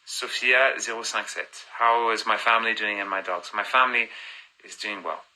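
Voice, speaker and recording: A man's voice: on the low side, fairly even intonation, moderate pace, pushed and bright, rough recording. A man in his thirties chatting on a phone live stream, reading fan comments aloud and answering them, switching between languages mid-answer.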